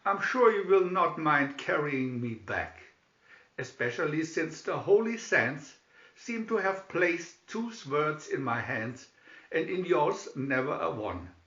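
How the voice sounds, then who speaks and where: A man's voice: fairly high pitch, expressive, slow, bright, forceful tone, full character voice. A man voicing a stout, jolly, sly friar in a storybook, hearty and mock-pious. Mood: mock-polite, sly. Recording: slightly dull recording in echoey room.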